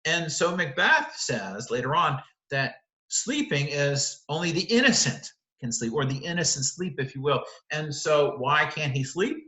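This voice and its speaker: Bright-voiced man explaining a play. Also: fairly high pitch, sing-song, a little slow, roomy sound, poor audio. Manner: explanatory, restates and corrects himself. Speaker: literature teacher. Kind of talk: lecture on a play, walking through the text.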